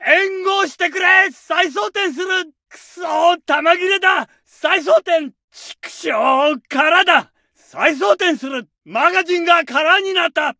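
A man's voice: very high voice, takes it slowly, hardly any pauses, bright, forceful tone, no room sound, studio quality, far from the mic, heavily acted. A man voicing a young male infantry soldier in a war game, barking curt orders. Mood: urgent. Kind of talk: barked game voice lines.

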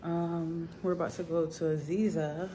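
A woman's voice: fairly low pitch, steady tone, moderate pace, over a noisy crowd. A young woman vlogger chatting casually and informally to camera in slangy speech, indoors on a handheld phone. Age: young adult. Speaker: travel vlogger. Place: indoors in villa rooms. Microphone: phone at arm's length. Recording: noisy handheld vlog audio.

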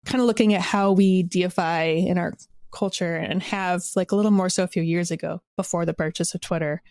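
Low-pitched woman in a close, dry interview. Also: fairly even intonation, brisk, darkish tone, no room sound. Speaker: podcast guest, audio drama creator. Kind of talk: casual interview conversation.

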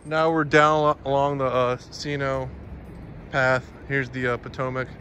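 A man narrating a hiking vlog in a casual, matter-of-fact, halting way as he walks. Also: mid-range voice, little pitch movement, sharp tone. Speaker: hiking vlogger. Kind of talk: casual walk-along narration. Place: outdoors in woods by a river. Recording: outdoor phone video with ambient noise.

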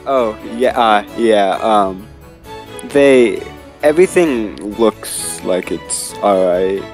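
Man as polite shy young man, fairly low pitch, hesitant, apologetic, game roleplay, soft background music. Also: lively intonation, takes it slowly. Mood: apologetic, nervous. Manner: hesitant, courteous. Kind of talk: improvised Minecraft roleplay acting.

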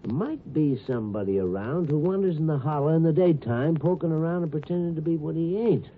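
Sly, lively radio-drama doctor, tinny sound. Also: male voice, fairly high pitch, fairly quick. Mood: insinuating. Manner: insinuating, needling. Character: sly, insinuating small-town doctor, a man. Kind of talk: 1950s radio acting. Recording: tinny 1950s radio recording, faint noise.

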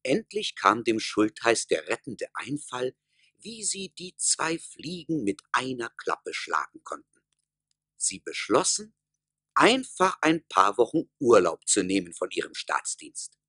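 A man's voice: medium pitch, big pitch swings, measured pace, bright, forceful tone, breathy edge, dry recording, clean studio sound, exaggerated voice. A man telling a story as the scripted narrator of a radio play.

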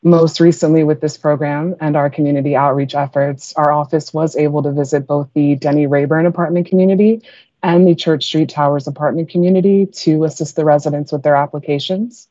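A woman's voice: deep voice, fairly even intonation, fairly quick, warm tone, dry recording. A young woman, a staffer, giving a prepared presentation over a video call from an office. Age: young adult. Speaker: legislative staffer. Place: office with storage shelves. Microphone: wired earphones on video call. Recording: slightly dull video call audio.

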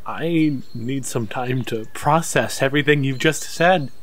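A man playing a cocky, excitable young alien fanatic in an audio drama, brash and argumentative. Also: medium pitch, a little slow. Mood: eager.

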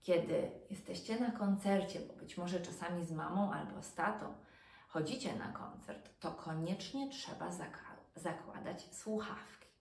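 A woman in her thirties, a doctor and storyteller, giving an educational talk to young children in an expressive way, with rhetorical questions and dramatic pauses. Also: fairly low pitch, creaky. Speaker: doctor and children's storyteller presenting health tips. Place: small furnished room indoors. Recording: roomy, distant mic with background noise.

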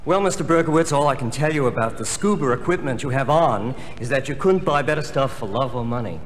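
A man's voice: medium pitch, animated, a little fast, lightly acted. An actor playing an earnest, polite man in a comedy record sketch. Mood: earnest, persuasive. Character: earnest, polite man, customer or smooth-talking salesman. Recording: hissy, reverberant old comedy record before audience.

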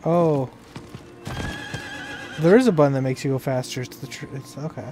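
A man's voice: mid-range voice, normal speed, over music. A man chatting casually while playing a co-op video game with friends. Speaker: co-op gaming partner. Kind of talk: casual co-op gaming chat. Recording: faint game ambience noise. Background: game ambience, horse sounds.

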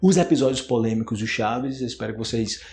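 A man's voice: mid-range voice, fast talker. A man in his thirties teaching Portuguese to camera in a small room, explaining words casually, checking in often with "né?" and "ok?". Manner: lively, gestures a lot, frequent "né?" and "ok?" check-ins. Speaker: Portuguese teacher, channel host. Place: small indoor room, plain wall. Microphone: close camera framing, upper body.

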